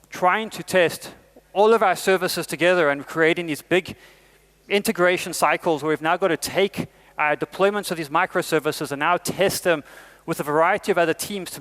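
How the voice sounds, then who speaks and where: A middle-aged South African man delivers a conference talk in a fairly high voice. He leans on emphatic rises to stress key points. Frequent "uh" fillers break up his phrases. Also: fairly bright tone. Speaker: conference speaker.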